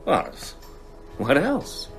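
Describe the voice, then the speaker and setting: An actor playing a wry, guarded novelist, casual and dry-humoured, in a conversational radio drama. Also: male voice, a little high, wildly swooping pitch, drawn-out words, faint music behind, heavily acted.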